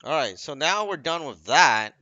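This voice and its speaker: Bright-voiced man doing critical game commentary. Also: a little high, big pitch swings, normal speed, no room sound. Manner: critical, nitpicking. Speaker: commentator on video game cutscenes. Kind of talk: casual off-the-cuff commentary. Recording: dull, lo-fi dry microphone recording.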